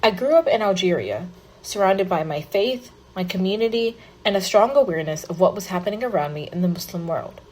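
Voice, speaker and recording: A woman's voice: mid-range voice, expressive, a little fast, a little mellow, lightly acted, rough recording. A woman narrating in first person as a young man recounting his life story, earnest and read from a script.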